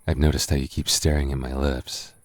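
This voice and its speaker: Very deep-voiced flirty tipsy roommate played by a man. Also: flat delivery, fairly quick, dry recording, clean studio sound. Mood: flirty, intimate.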